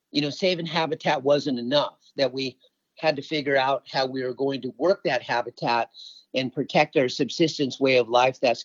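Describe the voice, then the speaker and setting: A man's voice: mid-range voice, no room sound. A middle-aged man from Alaska giving conversational answers as an interview guest and environmental activist. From Alaska, United States. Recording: slightly dull, dry lo-fi audio.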